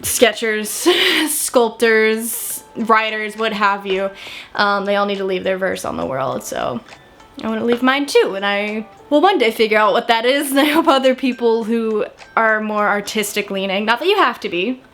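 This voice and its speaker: A woman's voice: a little high, lively intonation, normal speed, fairly bright tone, faint music behind. An American woman in her twenties chatting casually to camera, rambling and often correcting herself. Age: 20s. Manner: chatty, rambling, frequent self-corrections and small laughs. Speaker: vlogger.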